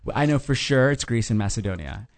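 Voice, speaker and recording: Casting: relaxed male co-host, middle pitch, conversational. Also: fast. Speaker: podcast co-host. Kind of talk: casual news chat.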